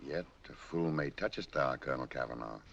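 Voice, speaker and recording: An actor playing a cold, menacing conspirator in a 1940s film, speaking in a deliberate, taunting way. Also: male voice, a bass voice. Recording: distant voice, loud noise, lo-fi film soundtrack.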